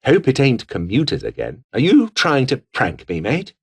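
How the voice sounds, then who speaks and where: A man's voice: medium pitch, huge pitch swings, normal speed, creaky, slightly rough, exaggerated voice. A male actor voicing a menacing, foul-mouthed revolutionary foot soldier with a British accent, taunting and theatrical in video game barks. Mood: suspicious, irritated.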